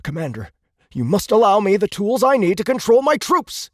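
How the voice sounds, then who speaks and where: High-pitched, haughty young alien officer. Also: male voice, very animated, normal speed, dry recording, studio quality. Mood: affronted insistence. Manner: indignant, insistent, officious. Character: haughty, insecure young male alien military commander. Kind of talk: audiobook character acting.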